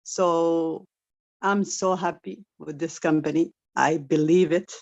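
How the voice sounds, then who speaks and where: Woman, native Arabic speaker, lower register, halting repetitive English, giving a personal testimonial over a dull online call. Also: fairly even intonation, gravelly, darkish tone. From Somali family, grew up in Saudi Arabia. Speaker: guest member giving a testimonial. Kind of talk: unscripted testimonial. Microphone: video call.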